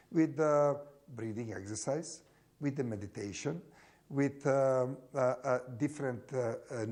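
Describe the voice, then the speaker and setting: A man with a Serbian accent, a non-native English speaker counseling someone, pausing often and searching for his words. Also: medium pitch, dark tone. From former Yugoslavia. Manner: halting, searching for words, full of fillers. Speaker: mentor and judo teacher. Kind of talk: counseling conversation.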